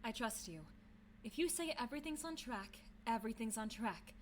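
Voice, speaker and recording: Faint noise sits behind an actress playing a young female student council president in an audio drama. Her voice is high, composed and exacting, clearly in charge. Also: expressive, moderate pace, natural voice. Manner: businesslike, in charge.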